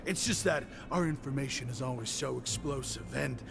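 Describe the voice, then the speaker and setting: An actor playing a cocky, self-important gossiping inmate in a video game, boastful and conspiratorial. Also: male voice, mid-range voice, normal speed, vocal fry, natural voice. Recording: game music behind the voice.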